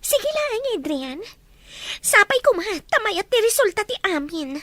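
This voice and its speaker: An actress playing a scheming, sharp-tongued woman in radio-style family melodrama. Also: extremely high pitch, sing-song, measured pace, no echo, full character voice. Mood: conspiratorial.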